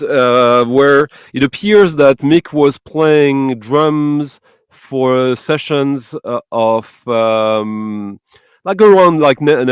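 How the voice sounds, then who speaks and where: A man's voice: mid-range voice, smooth, dry recording. A man hosting a casual music chat, enthusiastic and searching for his words, with English that is not his first language. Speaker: host.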